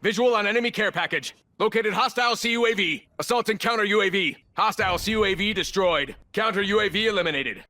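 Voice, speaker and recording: An actor playing a terse, cold special forces operator in a military shooter game, clipped and businesslike. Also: male voice, high-pitched, fast talker, pushed and bright. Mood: urgent, focused. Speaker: video game operator character.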